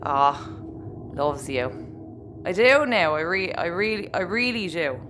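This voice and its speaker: A woman's voice: fairly low pitch, expressive, a bit bright, a little hiss or hum. A woman playing a doting, tipsy older Irish woman who rambles fondly in an audio comedy. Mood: tipsy, affectionate. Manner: slow, rambling, affectionate. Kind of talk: sci-fi audio comedy acting.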